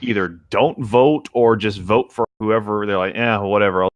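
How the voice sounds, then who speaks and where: A man's voice: fairly low pitch, moderate pace, no echo, lo-fi sound. A man on a casual podcast, chatting and reading aloud. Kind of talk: casual chat and fan fiction reading.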